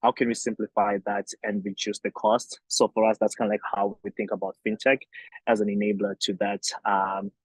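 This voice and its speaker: A man's voice: on the low side, level tone, fairly quick, husky, no echo, poor audio. A young man from Zimbabwe, a company founder, answering interview questions thoughtfully with many fillers and restarts.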